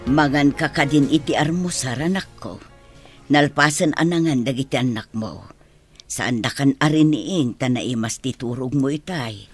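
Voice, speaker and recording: An actress playing a caring mother and grandmother in a village radio drama, speaking in a soft, comforting way. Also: very deep voice, moderate pace, husky, faint music behind. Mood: tender.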